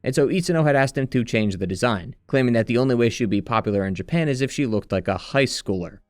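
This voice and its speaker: A man's voice: mid-range voice, animated, quick pace, no echo. Dry close-miked man narrating over music. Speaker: video essayist narrator. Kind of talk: scripted narration, story retrospective. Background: background music.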